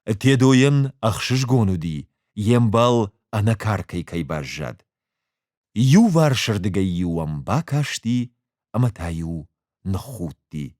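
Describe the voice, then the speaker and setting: A man with an Ossetian accent narrates a story in a low, lively voice. He takes his time, measured and expressive, as an actor reading an audiobook does. The sound is dry and close. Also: no echo. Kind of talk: audiobook narration with acted dialogue.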